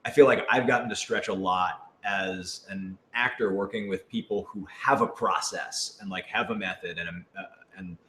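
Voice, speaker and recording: A man chatting casually on a question-and-answer panel as a voice actor, animated and self-deprecating. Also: sits low, normal speed, few pauses. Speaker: voice actor in an audio drama. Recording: roomy echo with clear background noise.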